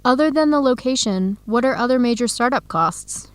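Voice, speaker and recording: A woman's voice: a little high, expressive, moderate pace, dry recording, natural voice. An actress playing a curious, friendly young woman researcher in an educational radio drama. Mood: chatty.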